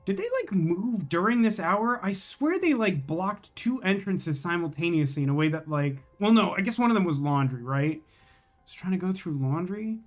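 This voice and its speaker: A man's voice: high voice, expressive, normal speed. A man doing casual live video game commentary, rambling and wry as he thinks aloud and chats with viewers.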